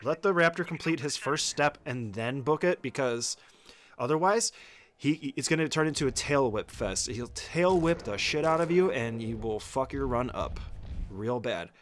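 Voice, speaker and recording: A man's voice: medium pitch, lively intonation, moderate pace. A man giving an informal, step-by-step speedrun tutorial as casual gameplay commentary, swearing freely.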